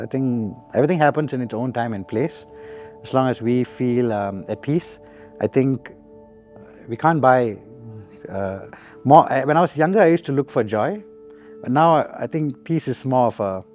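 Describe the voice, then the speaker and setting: A man gives an easygoing, reflective interview. His voice is pitched squarely in the middle and he laughs often. A little music plays quietly behind him. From Singapore. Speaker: musician guest. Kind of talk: relaxed interview answers.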